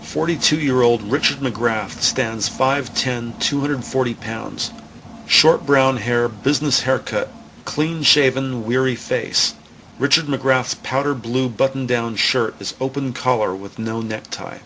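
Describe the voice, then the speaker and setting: A man's voice: mid-range voice, takes it slowly, a bit bright, slight background noise, low-quality recording. A man reading scripted descriptive narration for an audio drama.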